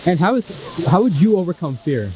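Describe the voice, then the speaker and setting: Male street interviewer, brisk upbeat prompts, outdoors amid a loud crowd. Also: sits high, sing-song, poor audio. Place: busy city street outdoors.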